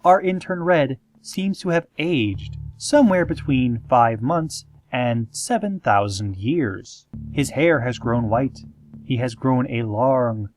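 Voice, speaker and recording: Male actor as small-town radio announcer for a comic horror audio show; formal, unruffled, quietly ominous, deadpan, with music behind. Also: medium pitch. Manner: deadpan, measured, formal, with drawn-out dramatic phrases. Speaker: community radio host. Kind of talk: scripted radio show narration, parody horror-comedy podcast. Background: soft guitar music.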